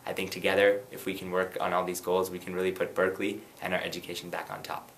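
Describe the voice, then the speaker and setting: Fast-talking low-voiced young man. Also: completely flat delivery, a bit bright, slight background noise. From Pakistan. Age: 20s. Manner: earnest, rapid and persuasive. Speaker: student election candidate. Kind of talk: campaign pitch and light interview answers.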